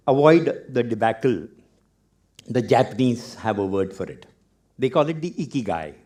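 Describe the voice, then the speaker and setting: Animated Indian man with a mellow voice. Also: mid-range voice. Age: 50s or older. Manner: animated, wry storyteller with dramatic pauses. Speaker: TEDx speaker. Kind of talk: storytelling talk on stage.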